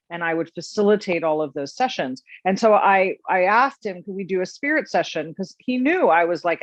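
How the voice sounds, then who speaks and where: Reflective middle-aged woman, lower voice, dull lo-fi sound. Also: no echo. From United States. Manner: earnest, reflective storytelling with many restarts. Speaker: podcast host. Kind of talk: casual interview chat.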